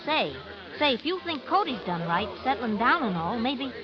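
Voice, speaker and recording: A woman's voice: fairly high pitch, very animated, moderate pace. An actress playing a brash, loyal frontier saloon woman with folksy, blunt talk in old radio drama style. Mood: tender, hopeful. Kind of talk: 1940s radio acting. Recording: muffled old radio broadcast with music.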